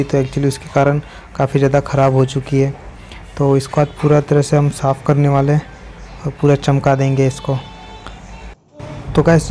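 A man's voice: mid-range voice, warm tone. A young man vlogging casually at an outdoor car wash, explaining each step in a chatty way with lots of fillers. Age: young adult. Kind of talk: casual vlog narration.

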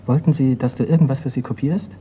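An actor playing a persistent, probing insurance investigator in a radio crime drama. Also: male voice, mid-range voice, steady tone, fast talker, natural voice. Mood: insistent. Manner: probing, insistent. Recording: dull, noisy radio play recording.

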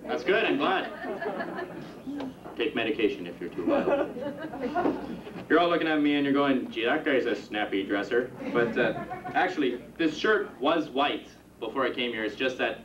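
A young man doing a stand-up comedy set in a club, with a rambling, halting delivery full of restarts. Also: mid-range voice, expressive, distant mic, crowd noise, noisy background. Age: young adult. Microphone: handheld stage mic on a stand. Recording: roomy, dull 1991 camcorder tape from the audience. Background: audience laughter.

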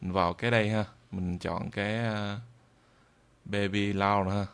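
A man narrating an informal software tutorial in a halting way, with frequent drawn-out hesitations. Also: on the low side, steady tone, clear, resonant tone. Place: indoors at a computer, screen recording voice-over.

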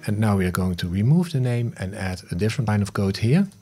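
A man in his fifties narrating a step-by-step software tutorial in short instructional phrases, recorded on a close studio mic. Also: lowish voice, expressive, fast, faint music behind. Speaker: host of smart home tutorial videos. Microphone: close studio mic on a boom arm.

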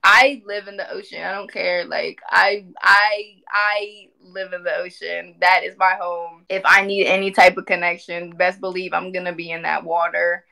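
A woman in her twenties talking casually on a livestream, animated and emphatic, often repeating phrases for emphasis. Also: on the low side, normal speed, vocal fry, hardly any pauses, poor audio. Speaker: spiritual community speaker. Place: indoor room at home.

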